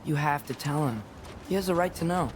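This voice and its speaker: Guy playing earnest teen boy, highish voice, restless, game dialogue with noisy background. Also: a little fast, close to an everyday voice. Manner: earnest, youthful. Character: earnest, restless teenage boy, half-giant son. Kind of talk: naturalistic video game acting.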